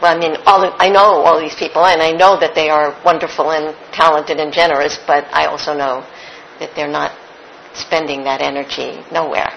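A woman's voice: low-pitched, steady tone, a little fast, creaky, hardly any pauses, a bit bright, a little hiss or hum, poor audio. A woman interviewing a guest, encouraging and full of praise. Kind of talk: casual interview.

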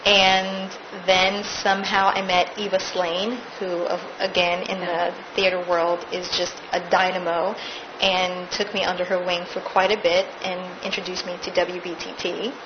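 A woman's voice: on the low side, flat delivery, moderate pace, hardly any pauses, highs cut off, background noise. A woman, a stage actress, recounting her theater career in a casual interview, laughing now and then.

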